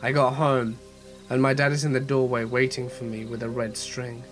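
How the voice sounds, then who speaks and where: A man's voice: mid-range voice, level tone, normal speed. Male horror-story host, mid-range voice touched by a mild cold, narrating over a music bed with crickets. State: slight head cold. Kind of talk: scripted first-person horror story narration and channel sign-off.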